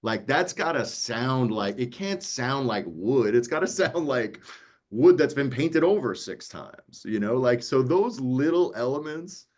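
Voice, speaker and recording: A man talking casually as a producer on a panel, animated and acting out his own remarks as he tells stories. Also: mid-range voice, a little slow. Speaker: audiobook producer and interview guest.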